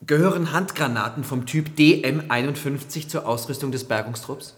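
An actor playing a persistent, confrontational prosecutor in a radio drama, questioning in a probing, insistent manner. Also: male voice, medium pitch, normal speed, natural voice. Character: persistent, confrontational male military-case prosecutor. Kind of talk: German radio drama dialogue.

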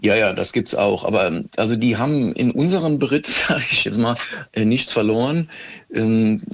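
A man, answering interview questions as an expert in a measured, matter-of-fact way with careful phrasing. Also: fairly low pitch, vocal fry. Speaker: police handwriting expert, interview guest.

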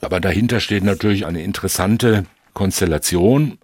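Very deep, husky-voiced man. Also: a little mellow, no room sound, studio quality. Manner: measured, precise, explanatory with legal terms. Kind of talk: analytical panel discussion.

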